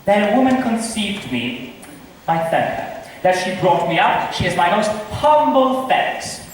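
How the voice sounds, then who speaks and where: A man's voice: high voice, sing-song, a little slow. A man acting a witty, scornful confirmed bachelor in an amateur stage comedy, with a theatrical, declaiming manner. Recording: echoey hall, distant mic, noisy.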